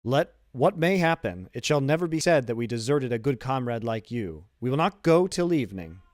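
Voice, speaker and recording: A man's voice: medium pitch, expressive, normal speed, a little mellow, no room sound, studio quality, pitched above the performer's own voice, natural voice. A man voicing an old ship's captain, strict but loyal, in emphatic audiobook dialogue. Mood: resolute, troubled. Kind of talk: audiobook character dialogue, literary.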